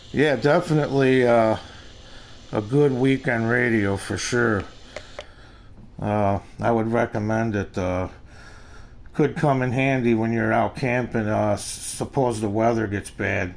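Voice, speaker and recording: An older man with an American accent gives a casual, unscripted product review in a halting, plain-spoken way. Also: fairly low pitch. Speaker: gadget reviewer. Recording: noisy amateur home recording.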